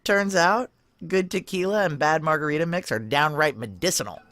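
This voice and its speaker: A woman's voice: low-pitched, normal speed, a bit bright, slight background noise. An actress playing a brash, foul-mouthed, hard-boiled woman operative, wry and blunt, in a noir audio drama. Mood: tipsy, boisterous.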